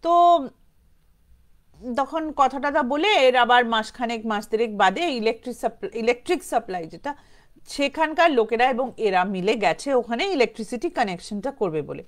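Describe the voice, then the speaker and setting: A woman's voice: fairly high pitch, expressive, normal speed. A woman tells a ghost story informally, in a rambling conversational way with English words mixed in. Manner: conversational, rambling, mixes in English words, acts out quotes lightly.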